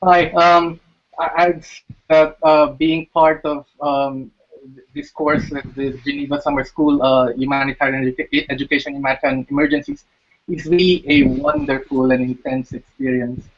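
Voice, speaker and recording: Man from the Philippines, learning designer, halting delivery. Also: on the high side. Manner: halting, many fillers. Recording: slightly muffled recording.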